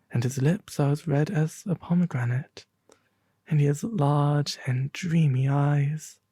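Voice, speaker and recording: A man voicing a sorrowful, compassionate prince statue in a storybook, soft and breathy, gently pleading. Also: a little high, no room sound, exaggerated voice.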